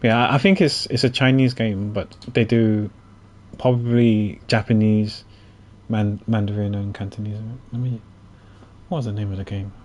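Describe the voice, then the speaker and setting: A man chatting casually on a game livestream, halting and thinking aloud as he goes. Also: on the low side, steady tone, a little mellow. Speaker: game streamer. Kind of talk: casual livestream chat while playing. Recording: faint noise, keyboard typing.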